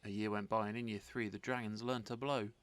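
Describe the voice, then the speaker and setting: A man reading a rhyming children's story aloud as an expressive narrator. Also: lowish voice, a little fast. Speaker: storybook reader.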